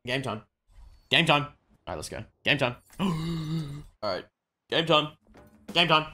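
A young adult man chatting excitedly on a gaming livestream, tossing out short repeated catchphrases. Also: fairly high pitch, normal speed. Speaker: game streamer.

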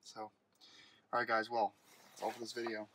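A man's voice: fairly low pitch, monotone, moderate pace, heavy background noise. A man giving a casual car walkaround on a dealership lot, thinking aloud with fillers and restarts, recorded on a handheld phone. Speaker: car vlogger. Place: outdoors at a car dealership lot, then inside a car. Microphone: handheld phone camera.

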